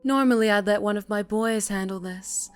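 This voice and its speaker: A woman playing a sadistic, seductive mafia boss in an ASMR roleplay, teasing and menacing, often dropping into breathy whispers. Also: medium pitch, normal speed, soft background music, natural voice. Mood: cold, threatening.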